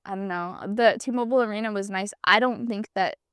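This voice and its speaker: A woman's voice: mid-range voice, a little fast, fairly bright tone, smooth, no echo, studio quality. A woman from Massachusetts co-hosting a casual podcast, talking with frequent hedges and hesitations. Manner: hesitant, hedging. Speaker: podcast co-host. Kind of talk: casual chat.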